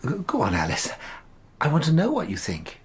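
Gentle English gentleman, lively intonation. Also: on the high side, a little fast, breathy edge, heavily acted. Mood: kindly probing. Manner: gentle, coaxing, softly hesitant. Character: kindly, gentle middle-aged English gentleman doctor, patient and reassuring. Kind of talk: radio drama acting.

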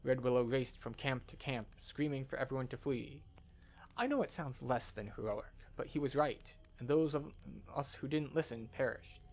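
Man acting as fantasy game scholar, earnest, formal, measured, creaky, medium pitch. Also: a little mellow, natural voice. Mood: grave, pleading.

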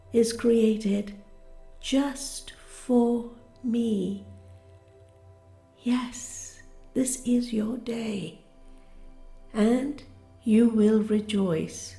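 Woman, higher-pitched, gravelly creak, calm and gentle meditation narrator, scripted soothing delivery. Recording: roomy reverberant voice over music. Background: music.

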